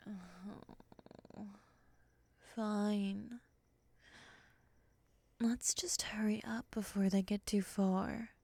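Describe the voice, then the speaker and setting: A woman playing a calm, condescending, predatory moth monster woman in an ASMR roleplay, speaking softly and often in a whisper. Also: medium pitch, extremely slow, pauses often. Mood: exasperated, scheming.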